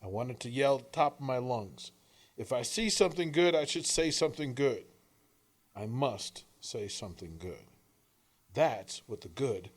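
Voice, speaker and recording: A man with a mid-pitched voice reads an inspirational piece like an audiobook. His delivery is earnest and deliberate, leaning on key words and leaving dramatic pauses between short phrases. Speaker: author reading own work; coach and radio host. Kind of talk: scripted inspirational audiobook-style reading.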